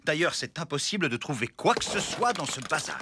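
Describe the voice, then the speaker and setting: A man's voice: on the high side, sing-song, a little fast, noisy background. An actor voicing a bossy, exasperated elephant father in a cartoon. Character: grumpy tidy elephant father. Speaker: father character. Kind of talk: French cartoon dubbing. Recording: with music underneath.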